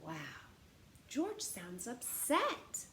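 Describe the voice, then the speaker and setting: A woman reading a story aloud to children in an animated, teacher-like way, stressing words and posing questions. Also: high-pitched, extremely slow. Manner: expressive teacher-like delivery, dramatic gasps and emphasis. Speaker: storytime reader. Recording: roomy echo with faint background noise.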